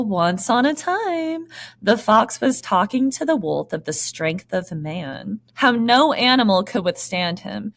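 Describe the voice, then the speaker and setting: A woman with a mid-range voice co-hosts a comedic podcast. She talks casually and irreverently, dropping swear words as she banters and reads stories aloud. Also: medium pitch. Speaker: podcast co-host.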